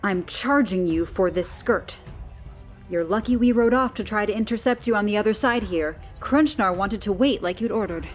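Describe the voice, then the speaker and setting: A man voicing a brisk, long-suffering woman steward in a fantasy audiobook, dry and exasperated. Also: sits high, faint music behind, voice pushed up, lightly acted.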